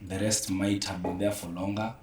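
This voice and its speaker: A man's voice: sits low, flat delivery, normal speed, darkish tone. A man with a Kenyan accent chatting casually as an interview guest. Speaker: theatre producer, interview guest. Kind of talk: casual interview chat mixing English and Swahili. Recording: roomy echo, distant microphone.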